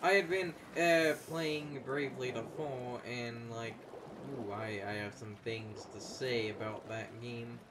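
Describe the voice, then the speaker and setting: A man of medium pitch hosts a casual let's play. He is opinionated and sometimes hesitates while finding his point. Clear background noise sits in the recording. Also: steady tone, slow. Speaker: host of a let's play series. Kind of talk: casual gaming commentary.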